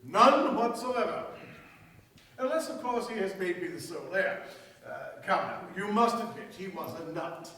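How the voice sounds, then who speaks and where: A man's voice: sits high, lively intonation, moderate pace, pushed and bright, a little hiss or hum. A man playing a haughty, callous, sardonic heir in a staged mystery radio play, with a snide, dismissive delivery. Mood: irritated. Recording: echoey stage, far from mic.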